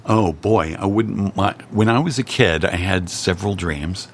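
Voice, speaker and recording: A man's voice: low-pitched, a little slow, a little hiss or hum. A man from Cincinnati, a guest in casual chat, joking in a dry, self-deprecating way. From Cincinnati, Ohio.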